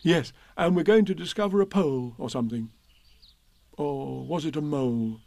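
A man's voice: fairly high pitch, moderate pace, gravelly, darkish tone, dry recording. A man voicing an eager, simple, good-natured toy bear, naive and earnest, in a children's storybook reading. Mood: friendly, muddled.

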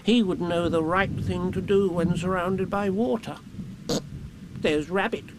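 A man's voice: sits high, unhurried, noisy background, voice pushed up. A man voicing a very small, anxious young piglet in a children's storybook, musing in a fretful, wistful, hesitant way. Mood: worried musing.

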